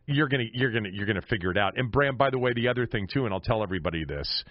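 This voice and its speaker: Male sports radio host, conversational and lively in pitch, with a dry, slightly muffled sound. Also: racing, no room sound.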